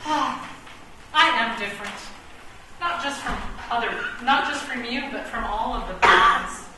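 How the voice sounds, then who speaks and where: A woman's voice: on the high side, measured pace, close to an everyday voice. A woman acting a bookish, brooding chess pawn with a poet's soul in a comic radio play, with pompous, precise, theatrical delivery. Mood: wounded, soulful. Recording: roomy, distant, noisy stage recording.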